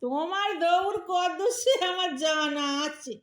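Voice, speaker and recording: A woman acting a sharp-tongued elderly wife in an audio drama, sarcastic and nagging but secretly caring. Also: very high-pitched, measured pace. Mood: scolding, exasperated. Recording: echoey room.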